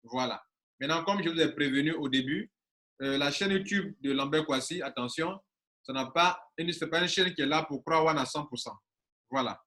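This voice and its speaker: A man's voice: on the high side, normal speed, bright, forceful tone. A man in his forties, an online business coach talking casually to his viewers and stressing points by repeating phrases. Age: 40s.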